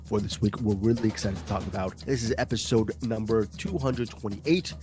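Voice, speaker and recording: A man hosting a movie podcast over a video call, hoarse from a cold, joking and laughing through rambling questions to his co-hosts. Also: on the low side, normal speed, over music. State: raspy voice from a cold. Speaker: podcast host.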